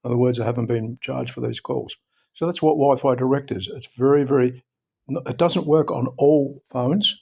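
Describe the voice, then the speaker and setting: A man with an Australian accent giving an informal, unscripted how-to talk in a halting, repetitive, explanatory way, recorded a little dull on a device microphone. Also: medium pitch, gravelly, darkish tone, slightly rough. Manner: halting, explanatory, often repeating himself. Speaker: presenter of a phone how-to video.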